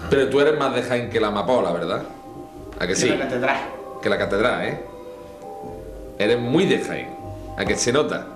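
A man's voice: medium pitch, expressive, moderate pace, long pauses. A man interviewing children from off camera in a playful, teasing manner. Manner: teasing, jokey. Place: classroom studio. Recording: echoey room, off-mic, music behind.

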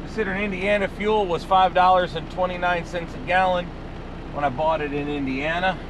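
A man chats offhandedly while driving a truck, wandering from one thought to the next. His voice sits a little high for a man. The dash-camera recording is full of loud engine and road noise. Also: fairly even intonation, brisk. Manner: rambling, offhand, musing aloud. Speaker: truck driver. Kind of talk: casual driving commentary. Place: inside a truck cab on the road. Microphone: dash camera in the cab.

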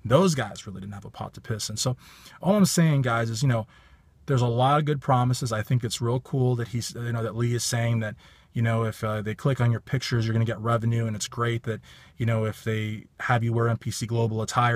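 A man in his thirties with a slightly raspy voice talks straight into a phone held at arm's length in his parked car. He is blunt and emphatic, hammering his points with the same stock phrases. The sound is dry and close, with no room echo. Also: mid-range voice, steady tone, a little fast. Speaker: bodybuilding commentator. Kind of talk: casual opinion vlog.

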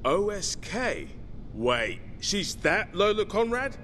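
Sardonic british guy survivor in a zombie game, swoopy lively pitch, bit of background ambience. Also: slow pace, heavily acted. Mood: wry, insistent. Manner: flippant, slangy, sardonic. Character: wisecracking sardonic streetwise male zombie-apocalypse survivor. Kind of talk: video game voice acting. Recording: faint background ambient noise.

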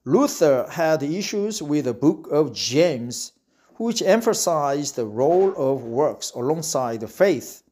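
A man with a Korean accent reads slowly in English, often going back over a phrase before he moves on. His voice sits a little high for a man, and his tone stays relaxed and conversational between the sentences he reads. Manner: reads slowly, repeats phrases, breaks off to comment. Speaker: reader practicing English. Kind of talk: reading aloud with casual commentary.

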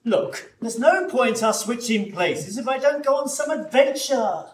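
A woman's voice: a little high, expressive, measured pace, far from the mic. A woman playing a breezy young prince disguised as a servant in a British pantomime, matter-of-fact and stagey. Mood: breezy, impatient. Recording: echoey theatre stage.

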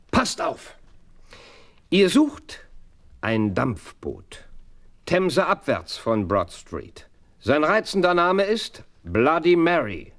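An actor playing a brilliant, self-assured detective in a German audio drama, theatrical, commanding and slightly teasing. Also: male voice, medium pitch, a bit bright, full character voice.

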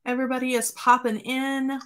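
A woman in her forties, slightly hoarse, chatting casually as she hosts a live craft stream from her home craft room and greets viewers by name. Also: high voice, little pitch movement, fairly quick, a bit bright. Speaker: craft livestream host.

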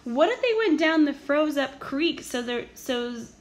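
A woman voicing a slow-witted male henchman from a children's storybook, in colloquial, ungrammatical speech. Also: sits high, lively intonation, voice pushed up. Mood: puzzled, dismissive.

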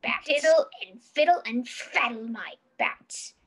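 A storybook witch croons in a very high, shrill-pitched singsong. She draws her rhymes out slowly, with nasty glee. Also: breathy edge. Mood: gleeful crooning. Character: cackling storybook witch, gleefully nasty, singsong fortune-teller.

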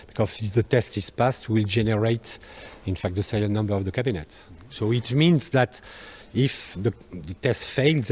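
A man gives an explanatory factory demonstration into a handheld mic, haltingly, searching for words. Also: on the low side, a little mellow. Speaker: factory guide. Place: large factory workshop, indoors. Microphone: handheld microphone. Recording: music behind the voice.

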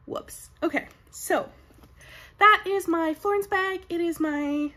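A woman's voice: very high-pitched, slow pace, sharp tone. A young Canadian woman hosting a knitting vlog from home, chatty and upbeat, sounding nasal and sniffly from a cold. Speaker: knitting podcast host. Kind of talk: casual chat to camera. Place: home room with bookshelves, indoors. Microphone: camera in front at desk distance.

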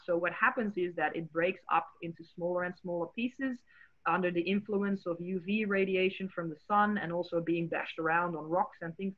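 Dutch accented woman explaining stuff on a video call, lots of uhs, tinny sound. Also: lowish voice. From Netherlands. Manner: friendly, explanatory, many "uh" fillers. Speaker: marine biologist and educator. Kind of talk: presenting slides on a video call. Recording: tinny phone-like call audio.

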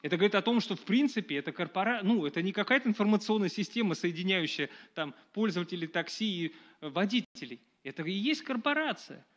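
A middle-aged man giving a public lecture to a live audience in a small dark room, talking conversationally with many fillers and restarts, recorded from a camera at a distance. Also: high voice, lively intonation, fast talker, hardly any pauses.

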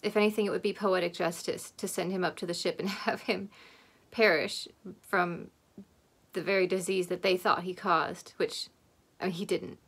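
A woman's voice: on the low side, fairly even intonation, normal speed, a bit bright, breathy edge. A woman in her thirties reacting casually to a show, commenting as she watches. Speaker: host reacting to a TV episode. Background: soundtrack of the episode.

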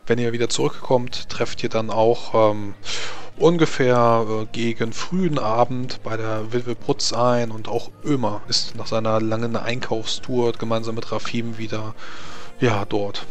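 A man's voice: mid-range voice, steady tone, unhurried. A man improvising narration as the game master of a tabletop role-playing game, hesitantly searching for words.

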